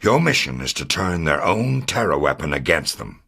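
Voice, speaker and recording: A man playing a grave, authoritative military officer and documentary narrator in a game, speaking in a measured, scripted style. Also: extremely low pitch, very animated, gravelly, breathy edge, full character voice. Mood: solemn, resolute. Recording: music bed under the voice. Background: loud dramatic music.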